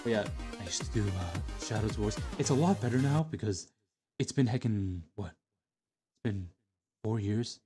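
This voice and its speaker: A man's voice: fairly low pitch, expressive, normal speed, over music. A young adult man streaming, chatting casually with lots of fillers and restarts as he looks back on old videos. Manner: rambling, many fillers and restarts.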